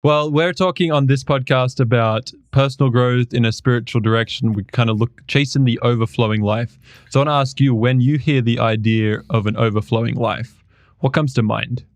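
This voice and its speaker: A man's voice: mid-range voice, steady tone, moderate pace, no room sound. A man hosting a podcast, asking questions in an easygoing way and chiming in with short affirmations. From Australia. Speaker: podcast host. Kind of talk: casual interview.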